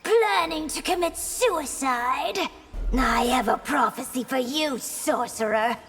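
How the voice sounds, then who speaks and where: A proud, vengeful empress from a video game, cool and threatening. Her high voice has a creaky edge and takes its time. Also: a bit bright, soft background music, full character voice. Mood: cool threat. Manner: deliberate, coolly taunting. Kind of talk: video game drama acting.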